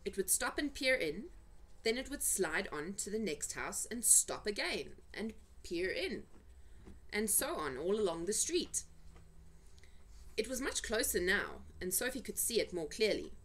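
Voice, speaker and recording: A woman's voice: mid-range voice, a bit bright. A woman reading a children's story aloud, with dramatic, suspenseful delivery and emphasized words. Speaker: amateur storyteller reading for family. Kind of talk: expressive children's storybook narration.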